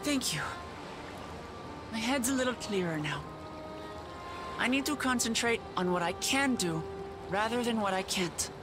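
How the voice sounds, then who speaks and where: An actress playing an earnest young female ruler in a video game cutscene, speaking in a measured, formal and resolute way. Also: on the high side, animated. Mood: determined. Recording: orchestral music behind the voice.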